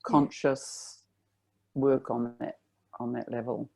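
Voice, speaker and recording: A woman voice teacher talking in a reflective, spiritual way in a relaxed conversation, sometimes showing high and loud notes as she talks. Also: slow, vocal fry, slightly rough. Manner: reflective, spiritual, demonstrates pitch while talking.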